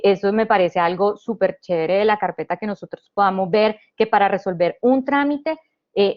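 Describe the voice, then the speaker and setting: A woman from Honduras giving an explanatory presentation as a panelist. She talks through each point step by step, with restarts and "eh" pauses. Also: medium pitch, lo-fi sound. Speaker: panelist presenting a government digital service.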